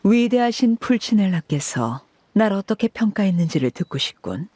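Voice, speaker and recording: A commanding, aloof woman from an anime game. Her voice is husky and she speaks with formal, measured composure. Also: mid-range voice, big pitch swings, mellow. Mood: cool, guarded. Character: cool, commanding woman, composed head of an orphanage family.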